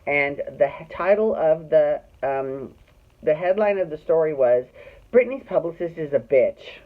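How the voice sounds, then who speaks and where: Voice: medium pitch, expressive, unhurried. A middle-aged person with a Californian accent telling personal stories casually, in a catty, dramatic and sweary way. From Glendale, California, United States. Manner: catty, dramatic, profane, acts out dialogue. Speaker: storyteller host of own show. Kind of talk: casual personal storytelling to camera. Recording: muffled phone-like narrow-band audio.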